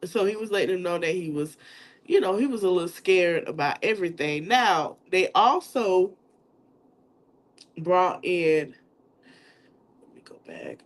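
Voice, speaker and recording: Stuffy-nosed woman, slow chatty recap. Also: fairly low pitch, expressive. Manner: chatty, drawn-out, addresses viewers as y'all. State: stuffy nose, sniffling. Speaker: podcast host. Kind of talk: casual trial recap chat.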